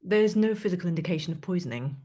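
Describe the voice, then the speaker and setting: A woman's voice: fairly low pitch, expressive, fast, mellow. An actress playing a matter-of-fact female pathologist, clipped, detached and businesslike in a stage play. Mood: clinical, detached.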